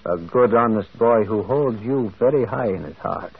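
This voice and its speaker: A man's voice: lowish voice, normal speed, creaky, breathy edge. An actor playing a mild, inquisitive priest sleuth in an old radio drama, hesitant and repeating his words. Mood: anxious, courteous. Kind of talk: 1940s radio acting. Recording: muffled, phone-like old radio recording.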